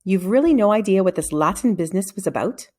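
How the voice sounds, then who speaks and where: A woman voicing a brisk, shrewd female deputy headmistress in a children's storybook. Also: mid-range voice, expressive, darkish tone, natural voice. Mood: brisk, probing.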